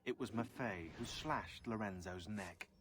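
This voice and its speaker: An actor narrating a video game briefing in a grave, scripted dramatic style. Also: male voice, fairly low pitch, unhurried, fairly bright tone, a little hiss or hum. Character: grave male narrator recounting a villain's crimes.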